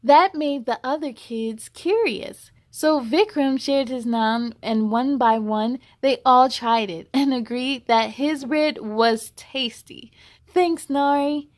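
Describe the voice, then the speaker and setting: A woman reading a children's picture book aloud in an expressive, encouraging way, as if speaking directly to young listeners. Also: a little high, slow, few pauses. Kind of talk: children's read-aloud storytelling.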